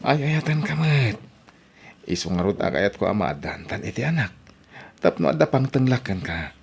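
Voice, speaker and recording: An actor playing a devoted adult husband in an audio drama, speaking in a soothing, persuasive way. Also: male voice, on the low side, big pitch swings, normal speed, vocal fry, a little hiss or hum. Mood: tender. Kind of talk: Ilocano radio-style drama acting.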